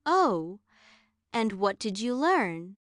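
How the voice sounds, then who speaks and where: A woman's voice: medium pitch, very animated, slow, no room sound, clean studio sound. A woman voicing a loving, fond queen mother in a children's bedtime story.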